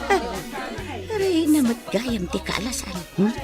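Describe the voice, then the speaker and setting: Catty high-pitched Ilocano auntie. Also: female voice, very animated, takes it slowly, music in the background. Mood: curt. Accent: Ilocano Filipino. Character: catty, fault-finding gossiping auntie at a wedding. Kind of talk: Philippine radio drama acting.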